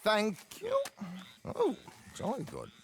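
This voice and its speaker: An actor playing a flamboyant, flattering gentleman swindler in a game, effusive and florid. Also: sits high, takes it slowly, vocal fry, slight background noise, full character voice. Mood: jovial. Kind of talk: dramatic video game acting.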